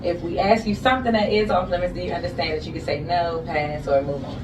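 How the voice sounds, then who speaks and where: Playful woman co-host, slightly low voice, roomy far-off mic. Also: steady tone, quick pace. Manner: playful, joking. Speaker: podcast co-host. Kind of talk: casual interview. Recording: echoey room, distant mic, background noise.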